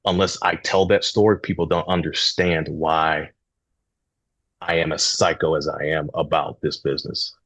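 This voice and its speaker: A man in his thirties from St. Louis, a podcast guest telling stories in an emphatic, animated way. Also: very deep voice, normal speed, no echo. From St. Louis, Missouri. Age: 30s. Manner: emphatic, animated storyteller, swears casually. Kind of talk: casual podcast conversation.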